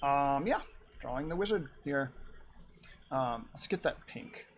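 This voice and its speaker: A man's voice: mid-range voice, lively intonation, a little slow, a little hiss or hum. A young man in his twenties chatting and rambling on a live art stream as he draws, laughing often. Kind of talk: casual chat while drawing.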